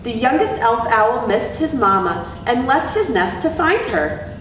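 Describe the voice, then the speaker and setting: A very reverberant room carries a woman's voice, slightly distant from the mic. She is an educator reading and talking to children, gentle and deliberate, with an animated, fairly high voice. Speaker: zoo educator and storyteller. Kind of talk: children's storytime reading and talk. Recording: very echoey room, distant mic, faint rumble.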